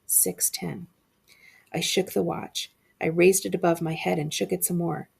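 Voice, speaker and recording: A woman narrates a children's horror story aloud as a librarian would, with expression. Her voice sits on the low side and is very creaky, with a husky, breathy grain. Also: fairly even intonation, measured pace, a little mellow.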